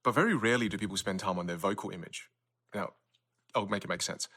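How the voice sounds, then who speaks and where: A man's voice: lowish voice, quick pace, a bit bright. A man as a podcast guest, explaining his ideas and acting out little quoted reactions. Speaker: communication coach guest. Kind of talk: interview answers.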